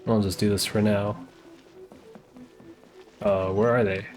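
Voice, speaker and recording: A man's voice: on the low side, little pitch movement, takes it slowly, a little mellow. A man live streaming a game, chatting casually and muttering to himself in an easygoing way.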